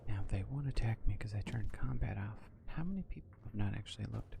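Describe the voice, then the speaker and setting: Hushed, creaky low-voiced male gamer. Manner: hushed, casual, unhurried asides. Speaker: gaming video creator. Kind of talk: ASMR game commentary, partly whispered. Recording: loud background noise and ambience.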